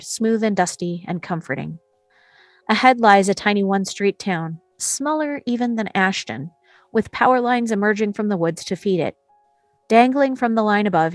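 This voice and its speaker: Female narrator, scripted scene description, mid-pitched voice, close dry recording, faint music underneath. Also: no room sound. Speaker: narrator reading stage directions. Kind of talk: scripted narration in a table read.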